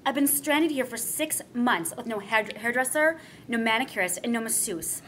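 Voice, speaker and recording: Actress doing snooty glamour wife, late 20s, highish voice, lots of swing, comedy table read. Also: female voice, expressive, normal speed, a bit bright, slight background noise. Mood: bored, snippy. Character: vain, haughty, glamorous young astronaut's wife.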